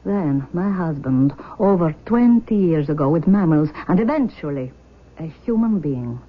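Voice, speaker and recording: Radio drama: obsessive, proud Russian mother, about forty. Low-leaning voice, grand emphatic delivery, dulled vintage recording. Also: lively intonation, phone-quality sound. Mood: haughty, insistent. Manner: dramatic, emphatic, deliberate declarations. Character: intense, imperious forty-year-old Russian mother, obsessed with her cloned daughter. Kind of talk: 1970s radio drama acting.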